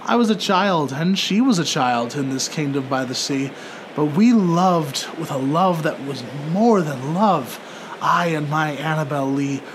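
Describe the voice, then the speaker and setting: A man narrating poetry in a theatrical, solemn and measured dramatic recitation. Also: fairly high pitch, slight background noise, poor audio. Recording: loud music under the voice. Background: music.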